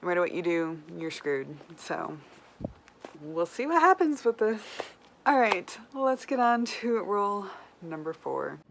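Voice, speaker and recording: A woman chatting casually to viewers as a book vlogger, with animated, self-deprecating asides. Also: on the low side, a little slow. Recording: clear background room noise.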